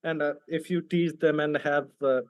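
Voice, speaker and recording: A man's voice: a little high, little pitch movement, normal speed, clean tone, no echo. A man with an Indian accent, speaking English as a non-native language, argues confrontationally in a debate and reads out texts. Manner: confrontational, rhetorical questions. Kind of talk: argumentative debate, reading out texts.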